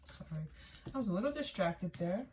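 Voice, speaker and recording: A woman's voice: medium pitch, animated, brisk, heavy background noise. A woman giving a casual, intuitive tarot reading for viewers, often repeating phrases for emphasis. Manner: voices another person's thoughts in first person. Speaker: tarot reader, channel host.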